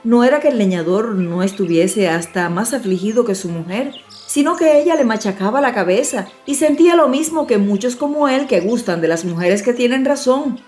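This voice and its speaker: A woman storyteller reading a scripted fairy tale in an expressive, measured way. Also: on the high side, soft background music. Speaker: audiobook storyteller.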